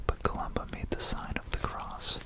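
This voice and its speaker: An ASMR host whispering a rambling, informal history narration in a breathy, unvoiced voice, halting and musing, close to a binaural microphone. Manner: halting, musing, repeats phrases.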